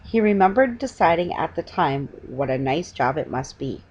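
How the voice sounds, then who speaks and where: A middle-aged woman reads a children's storybook aloud with a husky voice, stumbling now and then and correcting herself. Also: deep voice, very animated, a little mellow. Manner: halting reading with stumbles and self-corrections. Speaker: reader. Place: small living room at home, indoors. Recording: dull lo-fi webcam sound.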